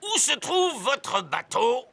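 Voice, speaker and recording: Jolly old dog skipper, cartoon grandpa, high creaky voice, music behind. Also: male voice, sing-song, slow pace, bright, forceful tone, exaggerated voice. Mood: hearty, friendly. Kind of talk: French children's cartoon dubbing.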